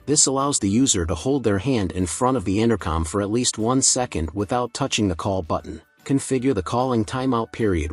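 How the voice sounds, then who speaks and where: A man reading a scripted software tutorial in a measured, procedural instructional manner. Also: fairly low pitch, slightly rough.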